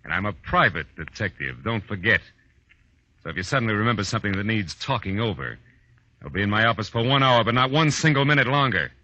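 Tough wry american detective, deep punchy voice, old muffled radio show. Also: male voice, lively intonation, quick pace, bright, forceful tone. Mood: hard, insistent. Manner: hard-boiled, wry, clipped. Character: tough, wisecracking male private detective. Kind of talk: 1940s radio detective drama acting and narration. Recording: dull 1940s radio recording, faint music.

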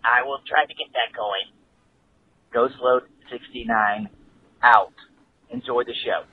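A man leaving a voicemail for a podcast, talking in a slow, careful, formal way. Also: mid-range voice. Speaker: voicemail caller. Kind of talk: voicemail message. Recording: tinny phone line with faint hiss.

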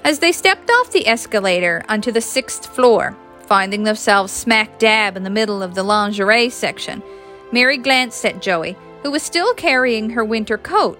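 A woman's voice: a little high, pushed and bright. A woman reading a scripted story aloud as a narrator.

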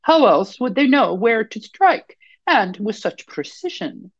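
Brief: woman narrator doing a scheming French lady exec, fifties, insistent, icy, lively pitch. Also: a little slow, breathy edge, dry recording, dull audio, full character voice. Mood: probing. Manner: cold, probing, insistent. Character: poised scheming French woman executive, fifty-three.

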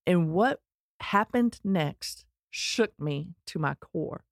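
A woman's voice: fairly low pitch, lively intonation, slow, vocal fry, dry recording, studio quality. A middle-aged woman with a Texan accent telling her personal story in a candid, earnest way, with touches of self-deprecating humor. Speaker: speaker sharing her life story.

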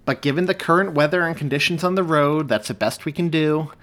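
A man voicing a calm, patient, matter-of-fact female emergency operator in an audiobook. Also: fairly high pitch, brisk, voice pushed up, close to an everyday voice. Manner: calm, procedural.

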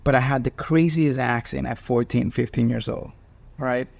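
A man in his forties from Venezuela with a Venezuelan accent and Spanish as his first language, hosting a conversation and telling animated stories with laughs. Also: mid-range voice, normal speed, gravelly. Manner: animated storyteller, laughs while talking. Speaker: host. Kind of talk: casual panel conversation.

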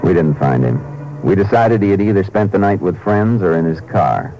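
An actor playing a steady male Texas Ranger lawman in a 1950s radio drama, calm and firm with a dry manner. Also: sits low, normal speed, lo-fi sound, slight background noise. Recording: 1950s radio transcription with score. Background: music.

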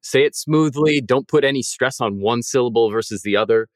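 A man's voice: mid-range voice, fairly quick, no echo, clean studio sound. A man co-hosting a podcast, explaining things in a methodical, precise way. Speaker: podcast co-host.

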